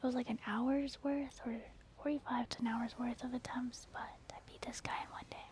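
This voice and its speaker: A woman doing soft, whispered ASMR commentary over gameplay, chatting informally to her viewers. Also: on the high side, level tone, fairly quick, background noise. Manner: informal, conversational, addressing viewers. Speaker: ASMR gaming creator.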